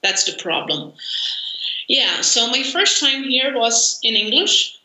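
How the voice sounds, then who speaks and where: A woman in her forties with a Brazilian Portuguese accent talks slowly on a video call, pausing often with fillers and laughing easily. Her voice is a little high and very bright. The webcam audio is roomy and echoey. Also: very animated. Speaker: interview guest, language learner. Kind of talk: conversational interview. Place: home room, indoors.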